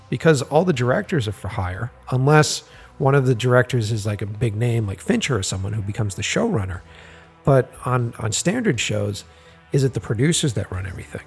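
Male podcast host, hoarse and raspy, loose chatty delivery full of ums. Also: mid-range voice, a little mellow. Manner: rambling, lots of ums and uhs. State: hoarse from a busy week. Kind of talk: casual podcast talk.

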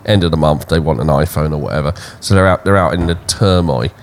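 A deep-voiced man talking in an easy, measured way on a podcast. A faint hiss of noise sits under his voice. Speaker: podcast co-host. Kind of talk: casual chat. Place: Thailand.

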